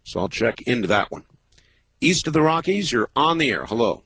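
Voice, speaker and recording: A man in his fifties hosting a late-night call-in radio show, talking in a measured, musing way with many pauses. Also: medium pitch, sing-song, fairly bright tone, breathy edge, no room sound. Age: 50s. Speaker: radio talk show host. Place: radio studio. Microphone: close studio microphone.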